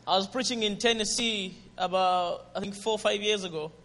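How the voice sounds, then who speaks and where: A visiting pastor with a Zambian accent preaches to a church with lively, friendly energy. His voice sits high for a man and rings through a reverberant hall. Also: fairly quick. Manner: lively and friendly, with call-and-response and laughter. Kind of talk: guest sermon and ministry testimony. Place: church sanctuary.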